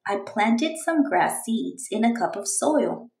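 A woman voicing an eager, determined young girl reading out her storybook diary in an earnest, expressive way. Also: fairly high pitch, slow, reverberant. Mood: hopeful.

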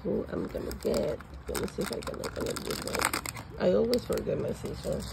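Raspy woman gushing over toys. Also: mid-range voice, big pitch swings, a little fast, very noisy. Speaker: vlogger. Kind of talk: casual unboxing chat. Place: home, at a table.

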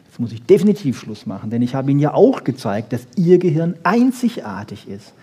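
Guy doing a pop science lecture, kinda high but mellow n husky, dry humor, keeps asking questions. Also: big pitch swings, brisk. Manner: wry humour, rhetorical questions, frequent "ja?" tags. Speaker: lecturer on brain research. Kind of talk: lively popular science lecture to an audience.

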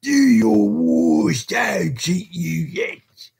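A desperate, murderous storybook ruffian, played by a male narrator. He shouts fiercely in a voice pushed well up in pitch, urgent and full of menace. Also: animated, extremely slow, creaky, breathy edge, full character voice. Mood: menacing, defiant.

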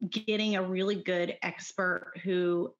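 Chatty Texan woman, slightly low voice. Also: little pitch movement, takes it slowly, lo-fi sound. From Texas. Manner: chatty and enthusiastic, frequent ums and laughs. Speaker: podcast guest. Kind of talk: casual chat.

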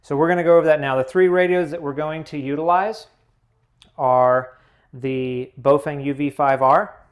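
Higher-pitched man giving step-by-step instructions. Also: normal speed. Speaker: tutorial host. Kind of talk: hands-on instructional narration.

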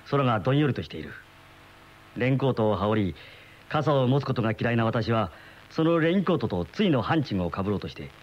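An actor playing an uneasy middle-aged husband in a radio mystery, with brooding, dramatic storytelling. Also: male voice, lowish voice, close to an everyday voice. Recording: muffled old radio drama recording, music behind.

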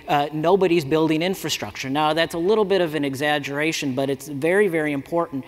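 A man in his forties giving a conversational conference talk at a lectern mic, with many fillers and restarts. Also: on the high side. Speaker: conference speaker. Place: large conference hall. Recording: reverberant hall.

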